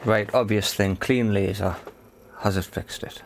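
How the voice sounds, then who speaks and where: A man's voice: lowish voice, fairly even intonation, measured pace, noisy background. A man giving casual, unscripted commentary on a hands-on repair, thinking aloud in short phrases. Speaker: host of an electronics repair video.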